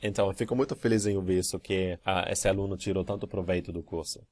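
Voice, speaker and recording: Male language teacher, low voice, calm and methodical Q&A delivery. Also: quick pace. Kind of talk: answering student emails in a course video.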